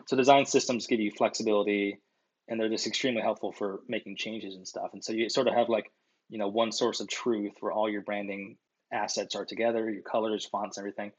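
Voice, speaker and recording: A man in his thirties, a designer guest, chatting over a video call from his home office, with lots of fillers. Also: on the low side. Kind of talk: casual interview answers. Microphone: video call webcam. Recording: dull lo-fi video call audio.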